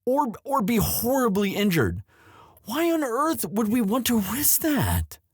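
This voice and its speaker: A man playing an anxious, protective young prince in love, speaking earnestly and haltingly in a romantic roleplay audio drama. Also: very high voice, huge pitch swings, husky, no echo, studio quality, exaggerated voice. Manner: earnest, halting, tender delivery.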